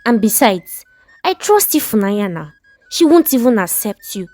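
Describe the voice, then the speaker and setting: A woman's voice: fairly high pitch, big pitch swings, moderate pace, warm tone. A woman voicing a betrayed Nigerian wife in a story, indignant, pleading and exclamatory, with a Nigerian accent. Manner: dramatic, exclamatory, questioning.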